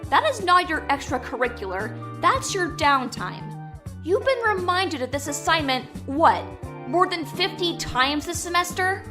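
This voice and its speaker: Audio drama schoolgirl, played by a woman: high, lively voice, dry and scolding, sharp-tongued. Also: measured pace, soft background music, full character voice. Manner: dry, teasing, matter-of-fact. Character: sharp-tongued teenage girl, studious, scolding classmate.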